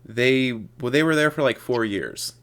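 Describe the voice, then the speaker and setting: A man's voice: mid-range voice, moderate pace, fairly bright tone, clear, resonant tone. A man hosting a podcast, asking casual, loosely phrased questions with many fillers. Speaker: podcast host.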